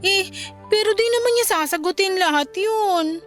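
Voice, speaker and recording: Envious, defensive grown child, played by a woman: extremely high voice, plaintive questioning, radio-drama style over music. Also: moderate pace, fairly bright tone. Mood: uneasy, pleading. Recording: music bed under the voice.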